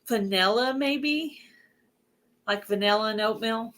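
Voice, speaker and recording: A woman's voice: a little high, measured pace, fairly bright tone. A woman hosting a casual livestream chat, talking in a chatty way with lots of filler words. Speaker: host.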